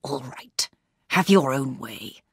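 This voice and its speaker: Bad-tempered gentleman played by a woman, low, curt, menacing, audiobook villain. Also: animated, unhurried, no room sound, studio quality, heavily acted. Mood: angry muttering. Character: surly, domineering bad-tempered English gentleman husband.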